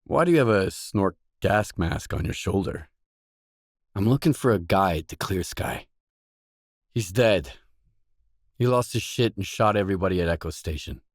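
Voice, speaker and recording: A low male voice for a weary, guarded mercenary in a video game. He talks in short, blunt, businesslike lines, matter-of-fact and gruff. The sound is close and dry, with no room around it. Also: a little mellow, no echo. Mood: guarded, questioning.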